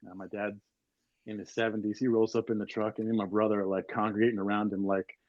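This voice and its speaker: A man's voice: fairly low pitch, level tone, fast, darkish tone, no echo, lo-fi sound. A man in his forties from Maryland, a podcast guest telling stories in a rambling way with lots of fillers. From Maryland, USA. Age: 40s. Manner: rambling storyteller, many fillers. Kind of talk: casual chat.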